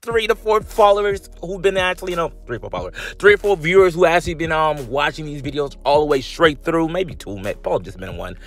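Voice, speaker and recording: A man's voice: fairly high pitch, expressive, quick pace, hardly any pauses. A man talking casually over gameplay footage as the channel's host, in a drowsy, loose way with lots of fillers and slang.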